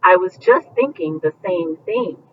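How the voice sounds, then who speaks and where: A woman's voice: fairly low pitch, animated, a little slow. Woman reading as a wistful little butterfly, muffled. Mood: friendly. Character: small, wistful female butterfly, sweet and loyal. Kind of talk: storybook character reading. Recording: muffled, distant mic with faint background noise.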